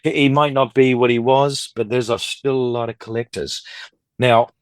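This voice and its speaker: A man in his fifties talks at length as a podcast guest, rambling from one thought to the next with an upbeat energy. His pitch sits in an ordinary middle range, and the sound is dry and close with no room around it. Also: fairly even intonation, moderate pace, no room sound. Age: 50s. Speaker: guest, record collector and dealer. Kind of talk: casual interview chat.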